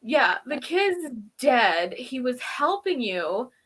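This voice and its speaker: A woman with a high, very bright voice talks casually as a review co-host. Her delivery is informal and giggly, peppered with exasperated asides. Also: very animated, takes it slowly, husky. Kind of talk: casual chat, recapping and critiquing a TV episode.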